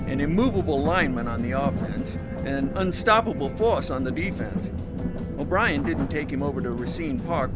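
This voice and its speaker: A man's voice: a little high, natural voice. A man playing a boozy, boastful old-timer sports reporter in a 1920s period audio drama, with a grandiose, blustery way of telling stories.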